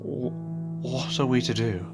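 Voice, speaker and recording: An actor playing an injured, bitter young husband in an audio drama, proud, strained and despairing. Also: male voice, medium pitch, unhurried, over music, natural voice. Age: young adult.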